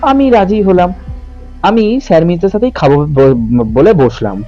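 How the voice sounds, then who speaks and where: Young man, dark soft-edged tone, suspenseful horror narration, dull lo-fi recording. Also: sits high, expressive, unhurried. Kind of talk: dramatic first-person ghost story narration. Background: background music.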